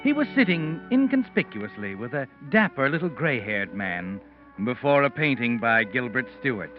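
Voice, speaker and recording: An actor playing a sinister, all-knowing mystery narrator who speaks ominously in 1940s radio style. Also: male voice, mid-range voice, very animated, normal speed, close to an everyday voice. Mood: sly, knowing. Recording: dull old radio recording, organ music.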